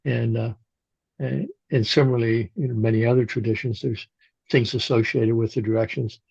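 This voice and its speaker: An older man with a gravelly, husky voice talks in a slow, reflective way. He often stops and restarts his sentences. The audio is dull and muffled, as if recorded on a basic setup. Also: mid-range voice, fairly even intonation, a little mellow, no echo. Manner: halting, with frequent restarts and fillers. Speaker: guest, Jungian analyst and shamanic practitioner. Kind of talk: reflective interview conversation.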